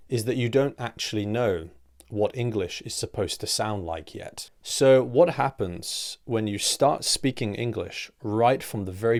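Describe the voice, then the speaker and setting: Man, slightly low voice, English teacher, measured explanatory read, phrases fade softer at the end. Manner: clear, deliberate teaching delivery with rhetorical questions. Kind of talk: scripted explanatory lesson.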